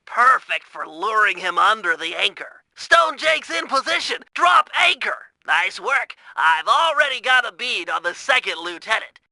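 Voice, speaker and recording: Brief: a nerdy young turtle inventor for a game, high, bright and gravelly-edged, fussy and precise. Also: male voice, no echo, exaggerated voice, rough recording. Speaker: game character voice. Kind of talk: video game voice lines.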